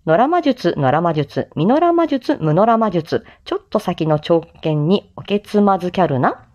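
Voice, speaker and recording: A woman reciting a theatrical Japanese-style sales-pitch monologue in a declamatory, sing-song way with playful touches. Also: sing-song, normal speed, darkish tone. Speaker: amateur voice drama performer, streamer. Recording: slightly dull lo-fi microphone sound.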